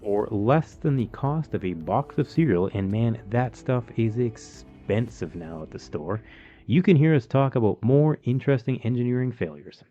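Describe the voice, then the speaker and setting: A man's voice: mid-range voice, lively intonation, moderate pace, warm tone, muffled recording, faint music behind. A man with a Canadian accent co-hosting a podcast, explaining things in a chatty way with many ums and you-knows. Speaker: podcast co-host. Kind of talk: casual podcast chat.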